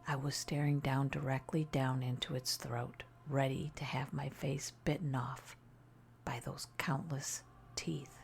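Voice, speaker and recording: A woman narrating a scary story in a soft, breathy ASMR style, often near a whisper, hushed and deliberate. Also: extremely low pitch, level tone, a little hiss or hum.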